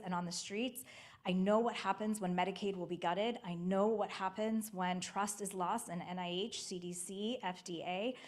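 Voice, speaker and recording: A woman who is a physician and psychiatrist, giving an earnest, measured closing statement as a congressional candidate. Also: mid-range voice.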